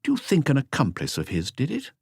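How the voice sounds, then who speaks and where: A man's voice: mid-range voice, huge pitch swings, a little fast, gravelly, a little mellow, breathy edge, dry recording, pitched above the performer's own voice, exaggerated voice. A man voicing a subordinate police officer in an audiobook, matter-of-fact and inquisitive. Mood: curious. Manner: matter-of-fact reporting, questioning. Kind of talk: audiobook character dialogue.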